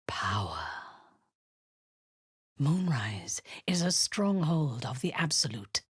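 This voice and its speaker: A woman voicing a cruel, zealous drow commander in a video game, menacing and slow, with a low, husky voice that often drops to a whisper. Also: sing-song, dry recording, clean studio sound, full character voice. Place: studio booth. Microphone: close studio mic, dry.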